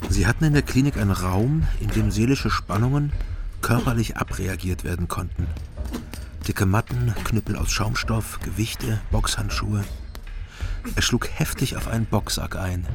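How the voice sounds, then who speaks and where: An actor playing a watchful narrator in a radio crime drama, telling the story in the first person with sober, measured delivery. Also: male voice, fairly low pitch, creaky.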